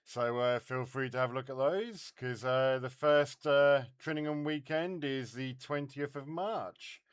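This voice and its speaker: A man hosting a CB radio net and live stream in a casual, halting way. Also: mid-range voice, clear, resonant tone.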